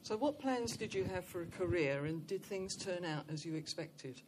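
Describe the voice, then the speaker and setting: A woman interviewer asking gentle prompting questions and murmuring quietly in agreement. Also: lowish voice, animated, normal speed, a little hiss or hum, poor audio.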